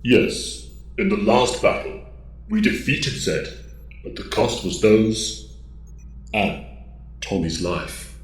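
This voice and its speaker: A man's voice: fairly low pitch, slow, pauses often, reverberant. A man playing the grave, stoic leader of a depleted hero team in an audio drama, formal and terse. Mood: grave, restrained.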